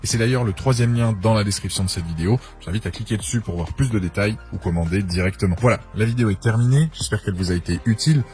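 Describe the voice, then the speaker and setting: A man's voice: lowish voice, fast talker, hardly any pauses, darkish tone. A man presenting a scripted product review as narration. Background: background music.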